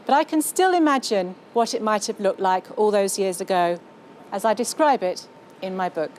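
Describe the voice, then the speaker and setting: A woman with an English accent giving an articulate, measured, scripted talk as a novelist. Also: mid-range voice, lively intonation, a little mellow, slight background noise. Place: outdoors on a London street.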